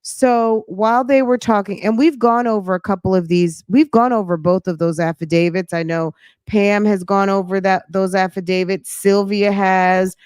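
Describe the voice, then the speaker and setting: Female host, mid-pitched, dry close sound, conversational and pointed, repeats phrases for emphasis. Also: steady tone, normal speed, a little mellow, smooth, no room sound. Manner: conversational, emphatic repetition, rhetorical questions. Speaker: host of a commentary show. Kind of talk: casual live reaction commentary, thinking aloud.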